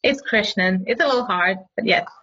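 A woman's voice: mid-range voice, fairly quick, pushed and bright, husky, lo-fi sound. A woman in her forties from Malaysia, a pediatric occupational therapist, telling her story in a friendly way as a guest. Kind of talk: casual interview.

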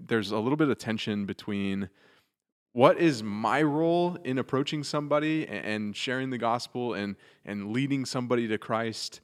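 A man's voice: medium pitch, big-room sound. A man co-hosting a church podcast, asking thoughtful, rambling questions with restarts. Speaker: podcast co-host. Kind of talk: casual podcast discussion.

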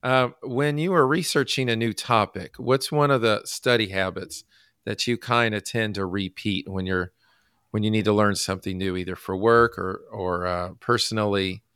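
Low-voiced man hosting a relaxed interview. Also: smooth, dry recording, studio quality. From United States. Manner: halting, many fillers and restarts. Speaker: podcast host. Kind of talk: casual interview.

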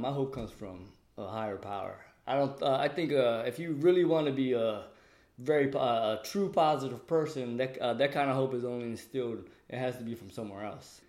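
A man's voice: mid-range voice, a little mellow. A man from the United States answering interview questions casually, repeating phrases for emphasis. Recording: echoey room.

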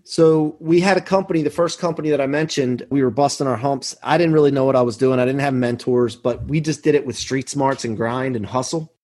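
A man's voice: mid-range voice, fairly quick, hardly any pauses, darkish tone. A man in his forties talking emphatically and earnestly as a self-assured podcast guest, telling motivational stories from business. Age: 40s. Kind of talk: motivational interview talk, anecdotes.

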